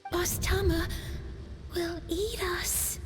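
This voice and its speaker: A woman's voice: very high-pitched, fairly even intonation, drawn-out words, over music, full character voice. A woman voicing a childish, naive and anxious little raccoon-dog yokai in a video game. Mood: anxious pleading. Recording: long reverberant hall effect.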